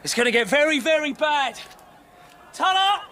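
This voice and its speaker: An actor playing a brash, excitable soldier in a radio drama, colloquial and exclamatory with a bitter, fatalistic streak. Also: male voice, very high-pitched, a little hiss or hum, heavily acted. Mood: grim farewell. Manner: exclamatory, colloquial, drawn-out shouts. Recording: loud music over the voice.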